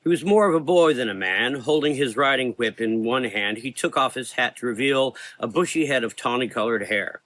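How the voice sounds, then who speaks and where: A man's voice: mid-range voice, fairly quick, hardly any pauses, a bit bright, no echo, rough recording. A man narrating a scripted gothic horror story in a dramatic, solemn manner.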